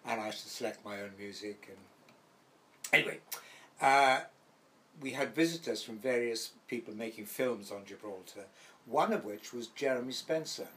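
Older Welsh actor telling stories. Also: male voice, mid-range voice, normal speed, a bit bright. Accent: light Welsh. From Wales. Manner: rambling anecdotal storyteller, acts out quoted voices. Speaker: actor guest. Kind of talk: reminiscing interview.

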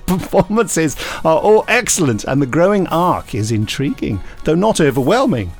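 Playful man, lively pitch. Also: measured pace, faint music behind. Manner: playful, jokey, theatrical when reading quotes. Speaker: podcast co-host. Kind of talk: casual chat and reading reviews aloud.

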